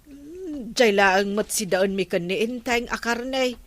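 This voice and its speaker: A woman playing a gossipy, grumbling village woman in an Ilocano comedy drama, with drawn-out exclamations. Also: on the high side, close to an everyday voice.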